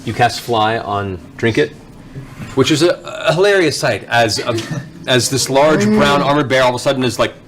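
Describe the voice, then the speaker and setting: A man's voice: mid-range voice, lively intonation, moderate pace, a bit bright, a little hiss or hum. A man working as game master, narrating a tabletop game with dramatic, descriptive storytelling.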